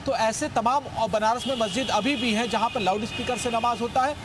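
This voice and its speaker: शोर भरी सड़क से ऊँची आवाज़ वाला पुरुष रिपोर्टर. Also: male voice, very high voice, brisk. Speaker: field news reporter. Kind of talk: live field report, extemporised. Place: outdoors on a city roadside. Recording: noisy outdoor street with traffic. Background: traffic and street noise.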